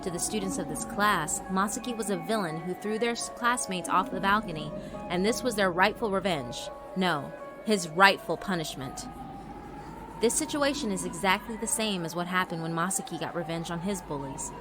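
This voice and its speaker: A woman narrating a horror visual novel in a steady, measured way. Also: lowish voice, music in the background.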